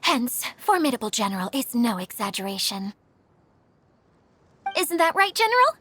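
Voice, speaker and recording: An actress playing a gentle, anxious caretaker girl in an anime video game, speaking politely and earnestly with some hesitation. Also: fairly high pitch, very animated, normal speed, a little hiss or hum. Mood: proud, formal. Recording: music behind the voice. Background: game music.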